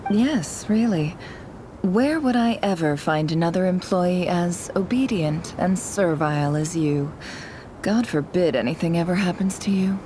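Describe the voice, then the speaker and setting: A woman playing a haughty, shrewd boss in a video game, speaking in a measured, imperious, wryly sardonic way. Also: lowish voice, mellow, a little hiss or hum, close to an everyday voice. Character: haughty, shrewd, commanding female boss with a chess set.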